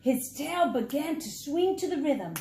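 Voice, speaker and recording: A woman reading a children's story aloud as a teacher, dramatic and deliberate for young listeners. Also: sits high, animated, warm tone, slight background noise. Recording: echoey, roomy sound.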